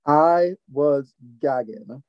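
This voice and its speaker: A man with a Jamaican accent in casual chat, retelling his reactions in an animated way, on a slightly lo-fi recording. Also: on the high side, extremely slow, clean tone, dry recording.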